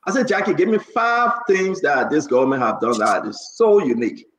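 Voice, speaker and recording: A man's voice: fairly high pitch, sing-song, brisk, a bit bright. A Liberian man in his forties hosting a casual talk show, speaking animatedly and repeating phrases for emphasis. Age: 40s. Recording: echoey room, slightly dull sound.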